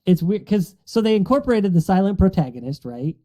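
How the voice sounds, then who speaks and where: A man's voice: high-pitched, very animated, fairly quick, dark tone. A man chatting casually on a podcast about movies, telling things in a rambling, amused way. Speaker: podcast panelist.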